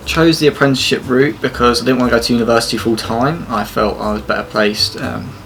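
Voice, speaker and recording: A young man with an English accent, an apprentice talking casually about his routine and studies, with a relaxed, hesitant manner. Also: mid-range voice, quick pace, gravelly, slight background noise. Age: young adult. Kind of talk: casual interview answers.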